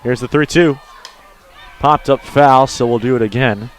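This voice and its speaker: A man doing live radio play-by-play as an announcer, lifting into excited calls on big plays. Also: mid-range voice, a little slow. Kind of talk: live radio sports commentary.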